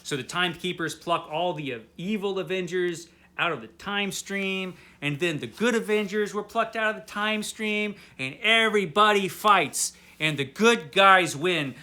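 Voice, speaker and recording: Male vlog host, slightly high, bright voice, drunk and rambling through a casual review with dry humor. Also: normal speed, few pauses. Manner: tipsy, rambling; wry, tongue-in-cheek. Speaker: host of a comic book read-along.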